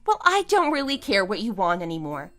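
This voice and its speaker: A woman's voice: mid-range voice, wildly swooping pitch, a little fast, a bit bright, soft background music. A woman playing a scornful, triumphant wife in an audio drama, with a sarcastic, emphatic delivery. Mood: exasperated, dismissive. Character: wronged wife, scornful and triumphant, kicking out cheating husband. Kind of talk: amateur audio drama acting.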